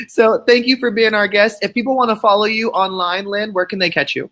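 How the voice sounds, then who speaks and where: A man's voice: high voice, fairly even intonation, normal speed, pushed and bright. A man hosting an interview show, talking with enthusiasm and often repeating his first words as he starts a sentence. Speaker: show host. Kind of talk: casual interview talk.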